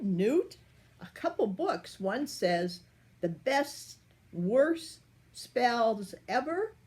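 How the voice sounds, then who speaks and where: Grandma reading a kids book out loud, slow and bouncy. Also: female voice, medium pitch. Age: older. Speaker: storyteller. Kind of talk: reading a picture book aloud to children.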